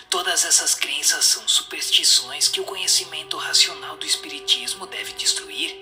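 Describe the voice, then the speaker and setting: A man's voice: fairly high pitch, bright, forceful tone. A man with a Brazilian accent giving answers in a solemn scripted, dramatized reading. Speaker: reader of the answers. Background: music.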